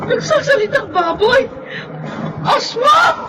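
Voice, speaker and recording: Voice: big pitch swings, slow pace, heavy background noise, heavily acted. An actor playing a terrified pregnant woman in a horror radio drama, shrieking in panic. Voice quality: shrill, shrieking. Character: terrified pregnant woman under attack by a monster. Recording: distant, echoey, loud screams and ambience behind.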